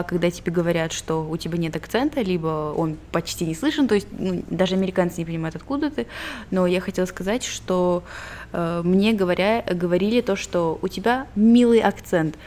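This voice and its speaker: Chatty young woman from Belarus, lowish voice. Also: brisk, darkish tone, a little hiss or hum. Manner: chatty, laughs often, mixes in English words. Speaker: podcast co-host. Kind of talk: casual chat.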